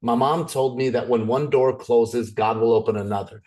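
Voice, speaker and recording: A man's voice: mid-range voice, fairly even intonation, normal speed, darkish tone. A man from Canada, probably in his thirties, giving a chatty, joking business presentation over a video call. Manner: chatty and joking, goes off on tangents.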